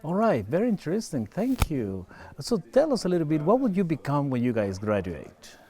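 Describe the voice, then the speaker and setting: A man hosting an online student interview, friendly and quick to laugh. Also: fairly high pitch. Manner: lively, friendly, laughs often. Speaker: host.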